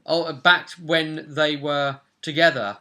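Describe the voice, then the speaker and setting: A man's voice: a little high, level tone, slow, bright, forceful tone. A man in his twenties casually recapping a tabletop role-play session as game master, recorded on a webcam in a room at home. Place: small domestic room indoors.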